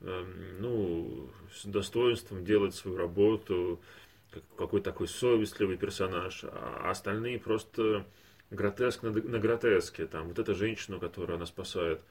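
A man's voice: low voice, moderate pace, darkish tone, husky. A man co-hosting a podcast in casual discussion, hesitant and searching for words as he talks. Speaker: podcast co-host. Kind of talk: casual discussion of animated films.

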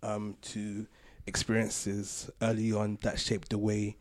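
A man's voice: on the low side, monotone, slow. A man hosting a podcast, chatting casually and telling stories in a jokey way. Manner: jokey storytelling, laughs often. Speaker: podcast host.

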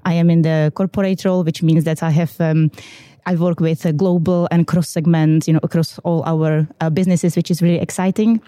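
Low-voiced Czech woman, dry sound. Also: flat delivery, brisk, dark tone, no room sound. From Czech Republic. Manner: hesitant, many fillers, upbeat. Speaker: guest, quality systems manager. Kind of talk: podcast interview.

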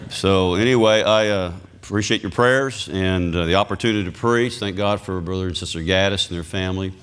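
A man, a visiting preacher whose voice is scratchy from illness, preaching in a folksy way and calling out amen to the congregation. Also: fairly bright tone. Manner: reads scripture slowly with rising pitch and emphasis. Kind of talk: sermon.

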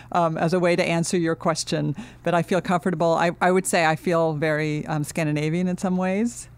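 A middle-aged woman from Finland, a guest in an interview, speaking earnestly and thoughtfully with many pauses and restarts. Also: sits low, darkish tone. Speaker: guest, architecture dean. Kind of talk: casual interview talk.

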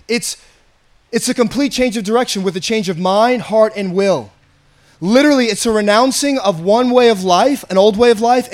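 A pastor with a raspy, slightly hoarse voice, preaching an earnest, conversational sermon and often repeating himself. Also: male voice, high voice.

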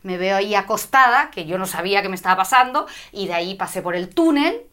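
A middle-aged woman from Spain, telling her story as an interview guest with an animated, spiritual way of talking. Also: medium pitch, fast talker. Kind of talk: conversational interview.

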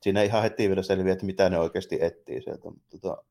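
A man's voice: low-pitched, steady tone, mellow. A man co-hosting a podcast, talking casually about films in a colloquial, rambling way. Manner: colloquial, rambling, loosely phrased. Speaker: podcast co-host. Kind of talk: casual film discussion between two co-hosts.

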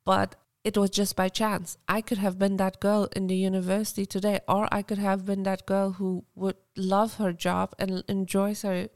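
A woman from Afghanistan co-hosting a podcast, speaking softly and reflectively with halting restarts. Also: medium pitch, little pitch movement, no echo, clean studio sound. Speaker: podcast co-host. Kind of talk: casual podcast conversation.

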